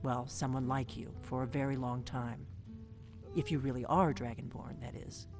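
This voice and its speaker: A woman's voice: extremely low pitch, steady tone, normal speed. An actress voicing a guarded, driven veteran female agent in a video game, speaking tersely and with command. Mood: wary. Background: game music.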